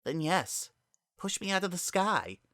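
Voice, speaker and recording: A young female pony from a dramatic storybook, acted by a man, pitched high and bright. She is stubborn and pleading, with a sharp, agitated edge. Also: sing-song, measured pace, no echo, clean studio sound, exaggerated voice. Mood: defiant.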